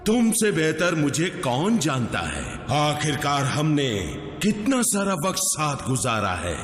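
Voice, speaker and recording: Robot warlord villain: slow, forceful, theatrical taunts in long reverb. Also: male voice, fairly high pitch, sing-song. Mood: mocking. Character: tyrannical robot warlord villain, mocking and grandiose. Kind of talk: Hindi cartoon dub. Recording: echoing, long reverb, faint music.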